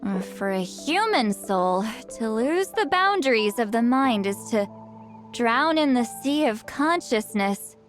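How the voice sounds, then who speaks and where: A woman voicing a centuries-old shaman in a video game, halting and awkward, aloof but secretly lonely. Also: on the high side, animated, soft background music. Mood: flustered. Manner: halting, flustered hesitations turning wistful.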